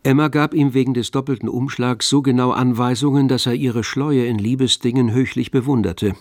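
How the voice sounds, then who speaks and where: A man narrating a literary radio drama in a measured, composed manner. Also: medium pitch, steady tone, a little mellow, dry recording, clean studio sound.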